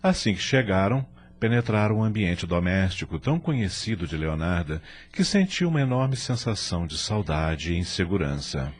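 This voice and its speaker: A man narrating an audio drama from a script in an expressive, literary storytelling manner. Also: low-pitched, normal speed, hardly any pauses, breathy edge.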